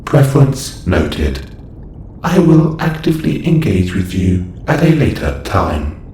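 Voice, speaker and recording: A man's voice: fairly low pitch, sing-song, slow pace, mellow. A man playing a formal, clinical caretaker robot in a sci-fi audio roleplay, speaking in stilted, precise phrases. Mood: clinical, advisory. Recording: roomy echo, faint background noise.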